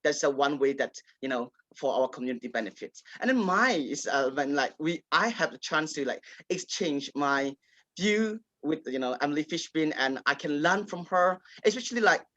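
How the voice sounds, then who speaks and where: A man's voice: on the high side, lively intonation, sharp tone, no echo, lo-fi sound. Man, dry close sound. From Kachin State, Myanmar. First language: Kachin. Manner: halting, many fillers and restarts. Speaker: local journalist, panelist. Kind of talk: panel discussion answer.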